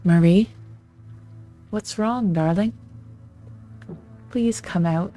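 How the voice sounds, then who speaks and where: A woman's voice: extremely slow, warm tone, faint music behind. A woman voicing a confused, pleading husband who does not know he has died. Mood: bewildered pleading. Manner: bewildered, pleading, voice raised higher. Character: confused ghostly husband, middle-aged man, pleading.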